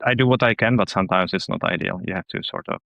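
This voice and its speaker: A man's voice: deep voice, fast talker, gravelly, no echo, muffled recording. A man in his thirties from the Czech Republic with a Czech accent, a podcast guest talking casually with many restarts and fillers. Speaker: podcast guest, newsletter publisher. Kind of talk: casual interview chat.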